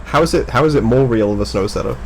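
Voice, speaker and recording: A man's voice: mid-range voice, quick pace, slight background noise. A man hosting a casual, unscripted gaming chat, with a teasing manner and frequent chuckles. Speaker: host of a Pokémon draft analysis video.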